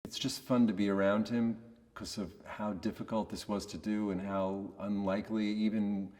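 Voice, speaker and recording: A man giving a casual, reflective interview in a monotonous voice, hesitant and understated as he searches for words. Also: on the low side, normal speed, long reverb tail. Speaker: voice actor being interviewed.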